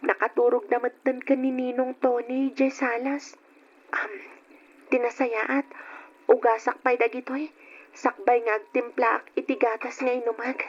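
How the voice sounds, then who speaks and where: A woman playing a timid, respectful young goddaughter in a radio drama, with a soft, hesitant way of speaking. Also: a little high, little pitch movement, a little slow, close to an everyday voice. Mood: quiet musing. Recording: dull, noisy old radio recording.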